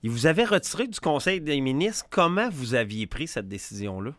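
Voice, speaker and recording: A man with a Quebec French accent conducts a political interview. His voice is bright and forward at an average pitch, recorded very dry. He speaks colloquially and phrases his questions as challenges. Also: big pitch swings, fairly quick, dry recording.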